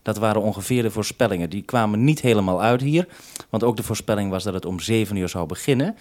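Dutch male radio host, low voice, businesslike two-way radio exchanges in a 1970s recording. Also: fast, darkish tone. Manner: businesslike radio procedure, ends turns with "over". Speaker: radio host at base station.

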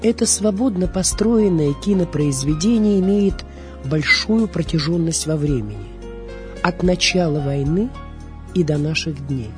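A woman's voice: low-pitched, expressive, a little slow. Woman narrating a radio documentary over music. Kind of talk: scripted documentary narration about film and theatre.

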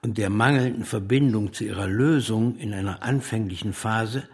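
Husky, low-voiced impassioned revolutionary. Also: male voice, normal speed, darkish tone. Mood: solemn. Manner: rhetorical, declamatory. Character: embattled male socialist revolutionary, impassioned, argumentative, doubting. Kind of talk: German radio drama acting.

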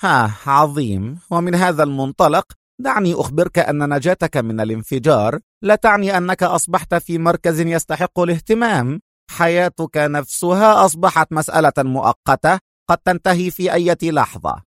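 A man voicing a haughty, hot-tempered British gentleman spy in an audio story, indignant, defiant and threatening. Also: fairly high pitch, lively intonation, a little fast, no echo, clean studio sound, pitched above the performer's own voice. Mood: sharp, threatening.

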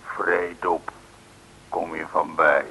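An actor playing a gruff, burly sailor who is surly, curt and deadpan in a vintage comic radio serial. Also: male voice, a bass voice, takes it slowly, heavily acted, rough recording. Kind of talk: 1960s Dutch comic radio serial acting. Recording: hissy old radio recording.

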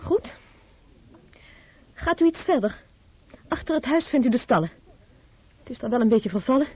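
A woman's voice: fairly high pitch, big pitch swings, normal speed, slightly rough. An actress playing an anxious young lady living alone, hesitant and frightened, in an old radio play. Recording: noisy narrow-band old radio recording.

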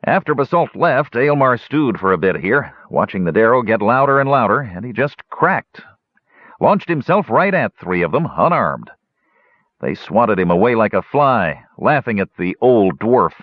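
A man voicing a gray-haired old male dwarf innkeeper who talks gruff and blunt, pitched well above his own voice. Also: sing-song, normal speed, no room sound. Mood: reminiscing. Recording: dull lo-fi dry recording.

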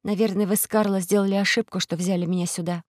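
A woman voicing a sensible, brave young girl with a quiet, matter-of-fact manner in a children's story. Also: mid-range voice, steady tone, fast, no echo, studio quality, natural voice. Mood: thoughtful, practical.

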